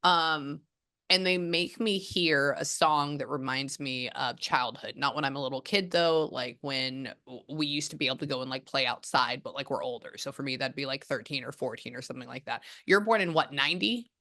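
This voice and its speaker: A woman in her thirties with a low, deep-set voice talks a client through a reading. She rambles and jumps into quick bursts of surprise, chatting loosely over a video call. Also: pushed and bright. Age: 30s. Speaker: psychic medium.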